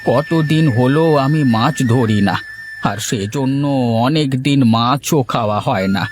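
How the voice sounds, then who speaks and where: Gentle ailing village father over music male actor. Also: medium pitch, a little slow, lightly acted. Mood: gentle, wistful. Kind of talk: Bengali moral-story cartoon dubbing.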